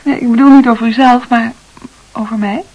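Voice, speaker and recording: An actress playing a shy, modest, bewildered nurse in a Dutch radio play, speaking in a breathy, hesitant, halting way. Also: female voice, on the high side, fairly even intonation, dull audio. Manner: hesitant, soft-spoken, halting.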